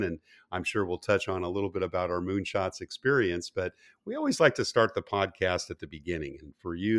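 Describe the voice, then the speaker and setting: A man hosting a business interview podcast, upbeat and encouraging, laughing often with his guest. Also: low-pitched. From California. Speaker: podcast host. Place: Manhattan.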